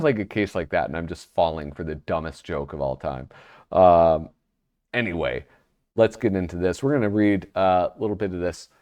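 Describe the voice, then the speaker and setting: A man's voice: deep voice, a little mellow. A man hosting his own magazine review channel, chatty and self-deprecating, sounding nasal from a cold. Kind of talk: casual solo review vlog.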